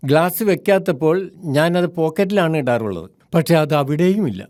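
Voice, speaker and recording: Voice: medium pitch, big pitch swings, brisk, very noisy, lightly acted. An actor voicing a hapless, mild-mannered father in a children's cartoon, plaintive and slightly comic. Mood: helpless.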